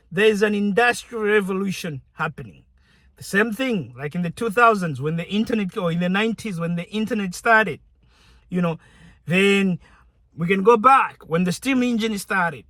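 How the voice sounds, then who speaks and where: A man's voice: sits high, sharp tone, no echo. A young man from Zambia talking casually to his viewers, chatty and conversational, often restarting his sentences. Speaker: YouTuber. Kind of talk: casual direct-to-camera talk.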